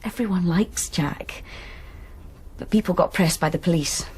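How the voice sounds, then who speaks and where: An actress playing a troubled, reluctant young student in a radio drama, confiding hesitantly in a husky English voice. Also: female voice, lowish voice, unhurried, a little mellow, whispered. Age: young adult.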